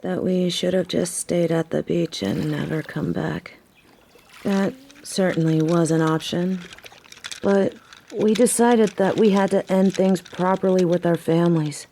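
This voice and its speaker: A young biker girlfriend, acted by a woman for a roleplay audio drama, speaks in a mellow, lowish voice. She is sardonic and teasing yet caring, with loose, slangy phrasing. A faint noise sits behind her. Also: steady tone, a little slow, vocal fry. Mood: reassuring. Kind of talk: scripted roleplay audio drama, intimate one-sided conversation.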